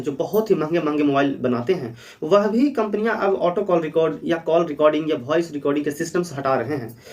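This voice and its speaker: A man's voice: sits high, far from the mic. A man hosting an explainer for viewers, talking in an earnest, instructive way and repeating key points. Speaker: host of a legal awareness channel.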